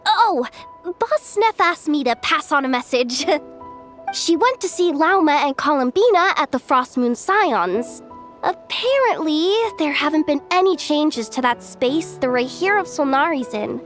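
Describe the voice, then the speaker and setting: A woman's voice: high voice, animated, a little slow, a bit bright. An actress voicing a cheerful young female courier in a video game who giggles often. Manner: giggly, upbeat, laughs often. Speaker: messenger character. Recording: game audio with music behind.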